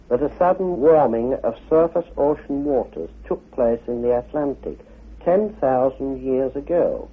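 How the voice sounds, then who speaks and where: A man with a husky voice narrates a scripted radio documentary. His delivery is formal and measured, with very varied intonation. The recording is thin and boxy, like old radio. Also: mid-range voice, phone-quality sound.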